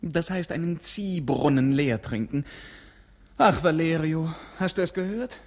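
An actor in a 1950s radio play voicing a bored, melancholy young prince who is dreamy and sardonic, by turns languid and mocking, then rapturously lyrical. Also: male voice, on the high side, unhurried, a little mellow. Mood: brooding.

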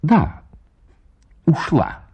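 A man reading a literary short story as an actor, theatrically and expressively, with deliberate pauses. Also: warm tone.

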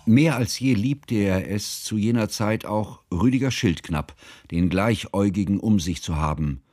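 An actor playing an earnest, scholarly narrator in a literary radio drama, speaking in a formal, measured, solemn way. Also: male voice, lowish voice, darkish tone, faint music behind, natural voice. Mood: measured, wry. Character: earnest, scholarly male narrator, devoted old friend.

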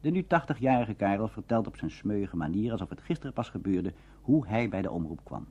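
Low, lively, clear-voiced male documentary narrator. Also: fairly quick, dull audio. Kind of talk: scripted narration of a radio history documentary.

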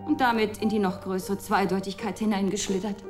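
A woman's voice: medium pitch, fairly even intonation, a little fast, close to an everyday voice. Actress as challenging woman over guitar music. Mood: mocking, reproachful. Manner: reproachful, rhetorical, articulate. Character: sharp-tongued, challenging woman confronting her companion. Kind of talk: German radio drama acting. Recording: plucked guitar music behind.

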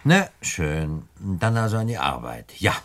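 A man's voice: sits low, big pitch swings, unhurried. An actor playing a courteous, avuncular crime boss in a German radio play, condescending and politely sinister, often repeating his words. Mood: genial, scheming.

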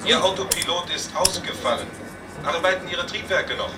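A man's voice: sits high, moderate pace, distant mic. A man playing an urgent, official air traffic controller in a radio play, making formal, insistent calls over a radio link. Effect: radio transmission. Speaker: radio drama actor. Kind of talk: German radio play acting.

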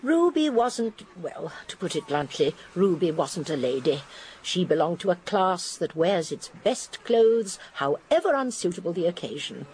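An actress playing a shrewd, genteel elderly English spinster sleuth in a radio drama, precise and politely firm. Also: female voice, on the low side, big pitch swings, measured pace, a little mellow, a little hiss or hum, low-quality recording. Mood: precise, insistent. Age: older. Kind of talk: radio drama acting and narration.

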